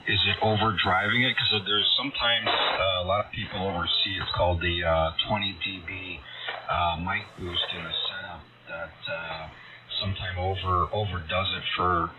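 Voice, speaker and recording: A man chatting casually as a ham radio operator, friendly and technical, with a halting delivery. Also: low voice. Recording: dull radio signal under loud static.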